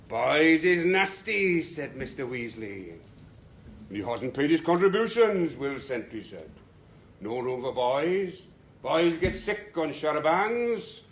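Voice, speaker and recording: A man giving a talk to a local group and reading passages aloud with slow, dramatic pacing. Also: mid-range voice, creaky, a little hiss or hum. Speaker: speaker at a talk. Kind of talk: lecture with readings.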